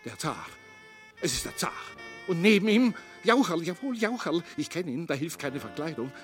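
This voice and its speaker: An actor playing a solemnly patriotic front-line radio reporter, announcing dramatically in a comic radio play. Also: male voice, on the high side, big pitch swings, normal speed, faint music behind. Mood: excited, solemn.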